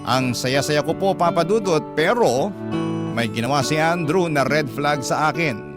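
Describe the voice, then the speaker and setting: A sentimental Filipino storyteller, loud guitar. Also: male voice, fairly high pitch, animated, unhurried. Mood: wistful, confiding. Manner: confiding, sentimental. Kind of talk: dramatic first-person radio narration. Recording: loud guitar music under the voice.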